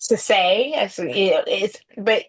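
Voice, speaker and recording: A woman from Trinidad, a podcast guest, telling her story thoughtfully over a remote call and often pausing with "you know". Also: mid-range voice, breathy edge. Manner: thoughtful, layered answers with frequent "you know". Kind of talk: reflective conversational storytelling.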